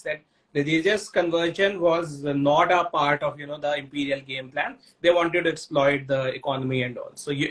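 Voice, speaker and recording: A man hosts a book discussion in a fairly high voice. His questions wander and build slowly, with fillers as he goes. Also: rough recording. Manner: long, rambling questions with fillers. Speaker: host. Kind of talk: interview about books.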